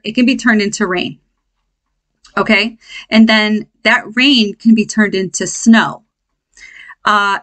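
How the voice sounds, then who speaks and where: A woman with a mid-pitched voice talks as the host of a spiritual self-help show. She takes her time and speaks unscripted, in a halting, conversational way. She often stops to check that the point has landed. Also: fairly bright tone. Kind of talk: informal spiritual lesson, speaking off the cuff.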